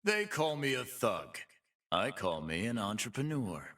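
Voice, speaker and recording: A man's voice: mid-range voice, very animated, a little slow, a bit bright, far from the mic, full character voice. An actor voicing a cocky, brutish half-human fight-pit boss for a video game, with a swaggering, taunting, slangy tough-guy drawl. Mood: menacing swagger. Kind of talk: video game character voice lines.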